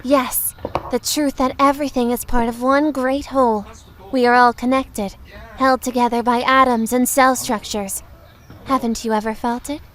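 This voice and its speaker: A woman playing a mysterious thirteen-year-old telepath girl who speaks like a wise old sage, solemn and measured, in an audio drama. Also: a little high, fairly even intonation, fairly bright tone, a little hiss or hum, natural voice. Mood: earnest, lecturing. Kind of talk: scripted audio drama acting.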